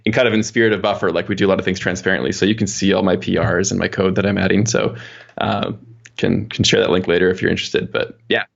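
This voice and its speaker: A man's voice: deep voice, fairly even intonation, fast, vocal fry, lo-fi sound. A man chatting casually as an interview guest, explaining his work as an engineer in a rambling, informal way. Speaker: podcast guest, software engineer.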